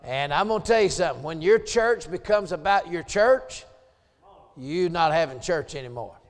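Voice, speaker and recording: A man in his late forties with a Southern US accent, preaching a revival sermon in a church. He drops into quiet joking asides with the congregation, then climbs to hollered scripture. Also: a little high, lively intonation, moderate pace, in a large hall. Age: 40s. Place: church sanctuary.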